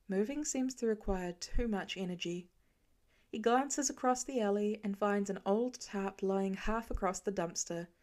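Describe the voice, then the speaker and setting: A woman's voice: mid-range voice, darkish tone. Woman reading a story aloud. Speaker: podfic reader. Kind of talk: fiction audiobook narration.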